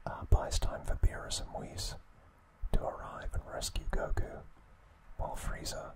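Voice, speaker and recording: Very slow whispering man, ASMR. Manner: hushed, unhurried, broken into short phrases. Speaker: ASMR video narrator. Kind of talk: whispered ASMR narration of an anime recap.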